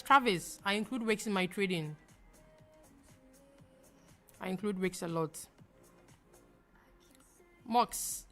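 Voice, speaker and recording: A woman's voice: medium pitch, fairly bright tone. A young adult woman from Ghana with a Ghanaian accent, hosting a live trading stream and answering viewers in a chatty, emphatic way that repeats phrases and laughs easily. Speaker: livestream trading host.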